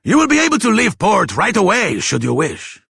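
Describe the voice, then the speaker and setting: A man's voice: high voice, huge pitch swings, normal speed, creaky, sharp tone, slightly rough, dry recording, studio quality, heavily acted. An actor playing a grand, theatrical and generous king in a fantasy video game, with a pompous, florid manner. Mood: jovial. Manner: pompous, florid, dramatic delivery. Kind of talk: scripted fantasy game voice acting.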